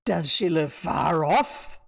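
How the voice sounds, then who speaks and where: A woman with a New Zealand accent voicing a sly male wolf, dropping into a bigger, slower voice. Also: sits low, expressive, creaky, slightly rough, pitched below the performer's own voice, exaggerated voice.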